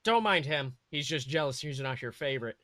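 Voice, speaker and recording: A man's voice: mid-range voice, expressive, a little fast, fairly bright tone, no echo, clean studio sound, lightly acted. A man voicing a cocky, joking billionaire superhero with glib, casual banter in a story reading. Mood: teasing warmth.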